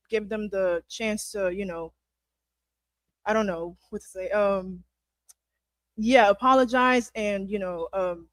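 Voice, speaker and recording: A woman's voice: medium pitch, dry recording. Woman on a panel, hesitant, hunting for words, dry sound. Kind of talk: panel discussion.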